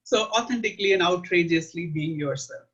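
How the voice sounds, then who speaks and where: An earnest man with an Indian accent, talking through an interview in a measured, reflective way. His voice sits fairly high for a man. The sound is muffled and lo-fi. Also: a bit bright. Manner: earnest, measured, with frequent uh fillers. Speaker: guest. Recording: muffled lo-fi audio.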